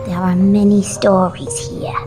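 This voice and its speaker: A woman playing a lonely, ghostly Victorian little girl in an audio drama, speaking in a deliberate, eerie storytelling manner. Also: medium pitch, flat delivery, natural voice. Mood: mysterious, inviting. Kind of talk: scripted theatrical audio drama. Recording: music behind the voice. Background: music.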